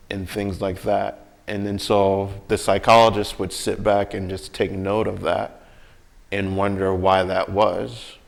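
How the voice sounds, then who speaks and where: Low-pitched, gravelly man in casual discussion.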